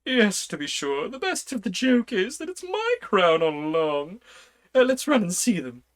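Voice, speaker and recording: A man voicing a fussy, excitable old king in a storybook read aloud, in a high, fluttery, exclaiming voice. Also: normal speed.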